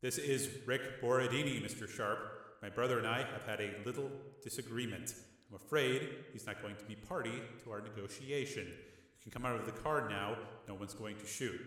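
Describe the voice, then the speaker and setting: A calm, pragmatic mobster , as a male narrator plays him, speaking low and quietly. The level tone sits in an echoey hall with a long reverb. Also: moderate pace, lightly acted.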